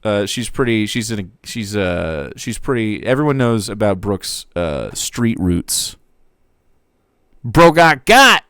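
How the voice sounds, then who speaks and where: A man streamer giving a dramatic reading with wry, deadpan asides. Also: lowish voice, a little slow, hardly any pauses, smooth, dry recording, studio quality.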